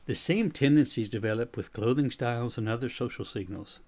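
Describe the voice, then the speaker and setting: Middle-aged American man, slight Swedish accent, coaching talk, even mid voice. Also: mid-range voice, fairly quick. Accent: American with a slight Swedish tinge. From United States. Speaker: leadership coach. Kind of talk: scripted talk on change.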